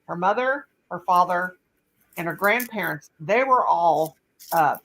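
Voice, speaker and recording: Older woman host, casual laptop chat, rambling storyteller, lowish voice. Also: lively intonation, a little slow, fairly bright tone, poor audio. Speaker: channel host.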